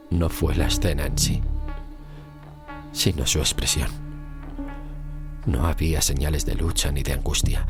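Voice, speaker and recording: An actor playing a haunted driver recounting his dread in a horror audio drama, with suspenseful pauses. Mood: grave, ominous. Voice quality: low, gravelly. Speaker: narrator and lead character. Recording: dramatic music under the voice. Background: music.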